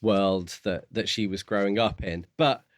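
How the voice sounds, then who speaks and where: Male podcast co-host, lower register, measured and conversational, thinking aloud, close dry sound. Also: steady tone, dry recording. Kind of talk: casual book discussion.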